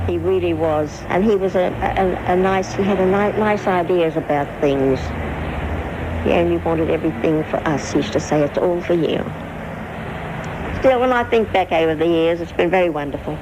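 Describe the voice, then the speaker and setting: Older aussie lady looking back, lowish halting voice, noisy old interview tape. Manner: halting, reflective. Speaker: interviewee, widow. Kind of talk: reminiscing in an interview.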